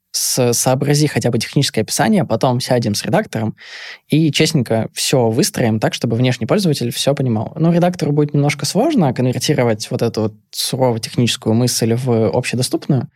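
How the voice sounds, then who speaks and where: A man's voice: mid-range voice, expressive, brisk, darkish tone, breathy edge, no echo, clean studio sound. A man who is a guest on a casual podcast, talking in a plain and straightforward way and telling anecdotes. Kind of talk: casual chat.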